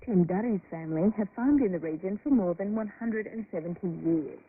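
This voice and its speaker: A woman's voice: mid-range voice, a little fast. Woman reporter on crackly narrow shortwave radio. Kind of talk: scripted radio news narration.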